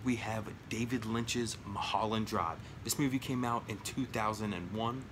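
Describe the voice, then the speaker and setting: A man in his thirties chatting casually at home about his film collection, rambling with lots of fillers and jokes, his voice going hoarse. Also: on the low side, steady tone, normal speed, background noise. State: losing his voice. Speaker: collection vlogger. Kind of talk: casual home video chat. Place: small room at home, indoors. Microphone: phone or webcam at arm's length.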